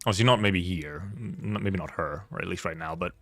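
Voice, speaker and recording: A man's voice: deep voice, brisk, fairly bright tone, no room sound. A man streaming a video game with casual commentary, thinking aloud hesitantly and repeating words as he goes. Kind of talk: casual rambling gameplay commentary. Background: faint game music.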